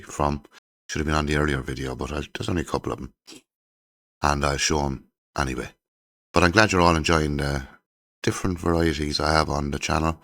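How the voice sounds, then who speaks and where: Deep, gravelly man with a croaky cold. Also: a bit bright. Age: middle-aged. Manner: rambling, chatty, enthusiastic. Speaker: channel host showing his CD collection. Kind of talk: casual chat to camera.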